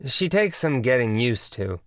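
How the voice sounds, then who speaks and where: A man reading an audiobook voices a cinema usher, flat and matter-of-fact. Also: medium pitch, a little slow, no room sound. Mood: deadpan. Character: gruff adult male cinema usher.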